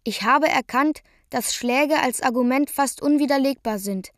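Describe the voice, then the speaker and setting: A woman's voice: high voice, normal speed, noisy background, close to an everyday voice. A woman reciting scripted first-person literary prose as a narrator in a radio play.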